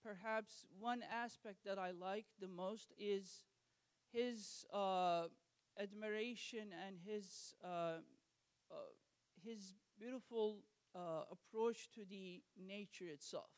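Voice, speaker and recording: A man from Turkey with a Turkish accent, hosting an art exhibit reception and giving an earnest, halting welcome speech at a school gallery. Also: sits high, smooth. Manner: earnest, halting, many fillers and restarts.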